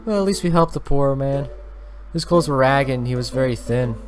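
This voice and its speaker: A man's voice: mid-range voice, normal speed, soft background music, lightly acted. A man voicing a kind, naive young nun who speaks earnestly and pleadingly, in a visual novel read-aloud.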